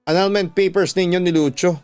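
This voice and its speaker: Lalaking gumaganap na walang-hiyang kabit, mapang-uyam. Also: male voice, high voice, steady tone, fairly quick, a little mellow, smooth, dry recording, pitched above the performer's own voice, natural voice. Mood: cold. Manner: taunting, unapologetic. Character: brazen, hard-hearted twin sister and mistress. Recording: music bed under the voice.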